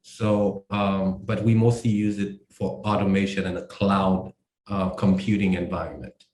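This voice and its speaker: A man from Haiti, a company co-founder, explaining his work in a casual interview, halting with many fillers and restarts. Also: sits low, flat delivery, darkish tone, echoey room. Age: adult.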